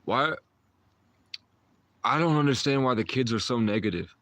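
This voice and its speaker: A man's voice: mid-range voice, expressive, moderate pace, a bit bright. A man in his twenties, a livestream host, chatting and rambling with viewers in a meandering, mystical way, full of restarts and short chuckles. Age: 20s.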